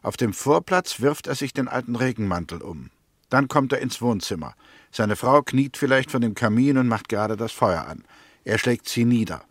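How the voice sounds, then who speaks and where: Actor as deliberate, suspicious prosecutor, dry sound. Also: male voice, mid-range voice, expressive, no room sound, close to an everyday voice. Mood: deliberate, narrating. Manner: deliberate, insistent, narrates theories step by step. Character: male prosecutor, suspicious, confident, building his case. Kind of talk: 1960s German radio play acting.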